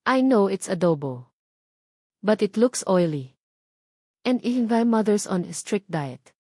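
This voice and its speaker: A woman's voice: mid-range voice, expressive, unhurried, a little mellow, no room sound, studio quality. A woman voicing a cold, commanding wealthy young heir who speaks curtly and sarcastically and mixes English into Tagalog, in a storybook audio drama. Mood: critical.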